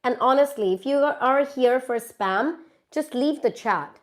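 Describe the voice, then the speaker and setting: A woman's voice: sits high, unhurried. A woman from India, stuffy from a cold, chatting casually with viewers on a live stream from her phone. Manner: chatty, reads out viewer comments and answers them. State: congested from a cold. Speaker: YouTuber hosting a live Q&A.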